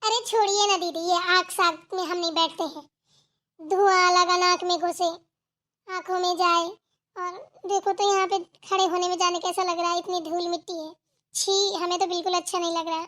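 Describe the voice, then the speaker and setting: A woman acts out a spoiled young wife in a family cartoon. The voice is extremely high and girlish. She is fussy and stubborn, answering back in a whiny, snippy way. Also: flat delivery, normal speed, sharp tone. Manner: petulant, snippy back-talk. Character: whiny, stubborn, lazy young daughter-in-law, complaining and fussy. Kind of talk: amateur Hindi family cartoon dialogue.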